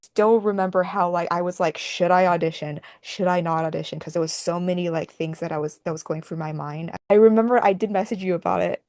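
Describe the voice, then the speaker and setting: A woman's voice: fairly low pitch, fairly even intonation, a little fast, hardly any pauses, a little mellow, dry recording, clean studio sound. A woman in casual podcast chat, speaking in a hesitant, self-deprecating way.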